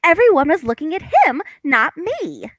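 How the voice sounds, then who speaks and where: A woman's voice: high-pitched, sing-song, normal speed, no echo, studio quality. A woman reading the part of a young housewife, patient and drily sarcastic, at a table read of a stage comedy. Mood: puzzled, protesting. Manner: wry, long-suffering.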